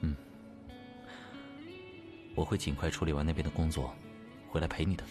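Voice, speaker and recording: An actor playing a composed company boss in an audio drama, speaking in a measured, restrained and terse way. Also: male voice, very deep voice, little pitch movement, music in the background. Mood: calm, tender. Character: composed, devoted male company boss in love.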